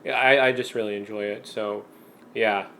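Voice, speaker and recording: A man hosting a podcast in casual fan chat, thinking aloud haltingly and saying "like" often. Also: on the low side, steady tone, creaky, bright, forceful tone, a little hiss or hum. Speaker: podcast host.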